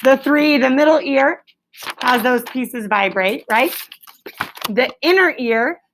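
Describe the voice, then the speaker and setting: A woman's voice: high voice, slow, a bit bright, slight background noise, low-quality recording. A woman teaching a class as a schoolteacher, explaining in simple phrases and prompting pupils with leading questions in an encouraging way.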